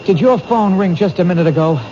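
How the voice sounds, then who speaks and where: An actor playing an earnest, anxious American police detective in a radio drama, with a hesitant, halting delivery. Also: male voice, sits high, a crowd in the background, lightly acted. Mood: concerned. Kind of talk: old-time radio drama acting. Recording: noisy, muffled vintage radio broadcast.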